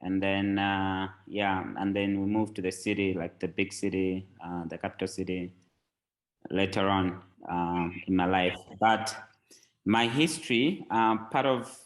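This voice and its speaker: A man from Zambia, a tech company founder, talking casually as a podcast guest, relaxed and rambling with many fillers. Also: fairly low pitch.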